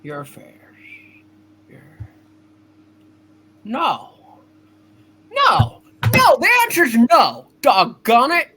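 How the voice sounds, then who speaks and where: A man playing an earnest, frustrated small-town young husband, halting and emotional, in a hammed-up radio play reading. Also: extremely high pitch, wildly swooping pitch, pushed and bright, a little hiss or hum, exaggerated voice. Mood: indignant. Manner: halting, emotional, repeating himself.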